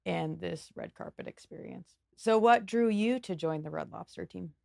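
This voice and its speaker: A woman's voice: on the low side, moderate pace, vocal fry, darkish tone, dry recording, studio quality. A woman hosting an interview podcast, asking questions in an upbeat, affirming way. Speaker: podcast host. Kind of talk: interview conversation.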